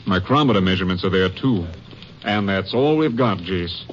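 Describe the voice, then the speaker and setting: An actor playing an authoritative, folksy Texas Ranger captain, brisk and businesslike, in a 1950s radio drama. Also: male voice, sits low, expressive. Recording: muffled, noisy vintage radio recording.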